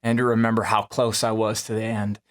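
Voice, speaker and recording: A man who is a musician, casually and haltingly telling how a song was made, in a rambling conversational way. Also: lowish voice, little pitch movement, slight background noise. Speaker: musician, singer-songwriter. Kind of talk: casual storytelling about making a song. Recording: music playing behind the voice.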